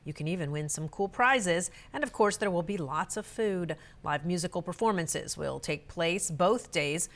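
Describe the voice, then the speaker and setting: A woman's voice: lowish voice, normal speed, few pauses. A woman reporter reading scripted narration for local news stories.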